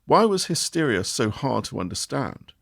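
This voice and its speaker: A man reading a nonfiction article aloud in a measured audiobook narration, expressive, with lively rises and falls. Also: medium pitch, creaky, no echo, clean studio sound.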